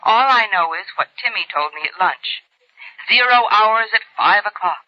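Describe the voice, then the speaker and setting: Breezy, animated housewife on the telephone. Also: female voice, mid-range voice, normal speed, no room sound. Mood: breezy, chatty. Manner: gossipy, breezy. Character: chatty, breezy housewife friend phoning long distance. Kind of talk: old radio drama acting. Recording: dull lo-fi old radio, telephone sound.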